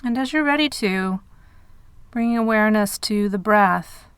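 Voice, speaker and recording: Female meditation narrator, medium register, very pure resonant tone, dry studio-like sound, measured delivery with space between phrases. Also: mid-range voice, a bit bright, clean tone, no echo. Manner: measured, unhurried phrasing with pauses. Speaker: meditation guide.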